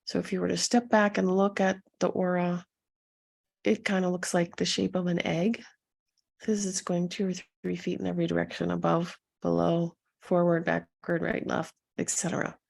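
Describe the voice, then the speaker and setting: A woman leading a guided meditation as a coach, speaking softly and soothingly to her listeners with a gentle, husky delivery. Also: fairly low pitch, little pitch movement. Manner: soothing, gentle, unhurried instruction with pauses.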